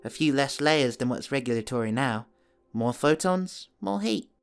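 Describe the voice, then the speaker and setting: A man's voice: medium pitch, animated, moderate pace, vocal fry, clean tone. A man playing a welcoming, wry monk master of a run-down space temple in an amateur audio play. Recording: music under the voice.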